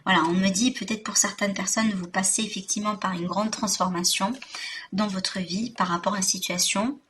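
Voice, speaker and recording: A woman's voice: medium pitch, steady tone, moderate pace, a bit bright. A woman reading tarot for viewers informally, speaking directly to them with frequent check-in tags and emphatic, repetitive phrasing. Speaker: tarot reader, host. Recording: distant mic, faint background noise.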